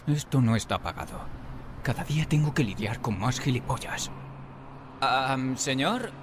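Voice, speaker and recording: A man's voice: high-pitched, background noise. A man with a Castilian Spanish accent doing comic game dubbing as a sarcastic, exasperated, wisecracking superhero who swears theatrically. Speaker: video creator dubbing game bugs.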